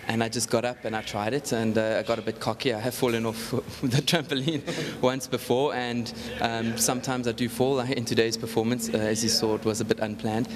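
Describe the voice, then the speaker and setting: A man, an actor, answering interview questions thoughtfully, pausing often and mixing in Afrikaans words. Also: medium pitch, big-room sound.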